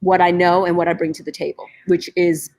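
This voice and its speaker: A middle-aged woman from Brooklyn with a slightly low voice speaks over a video call. She talks in a loose, conversational way, full of "you know" and "um". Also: little pitch movement, a little fast, darkish tone. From Brooklyn, New York, USA. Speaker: guest, stage manager. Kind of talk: casual interview chat.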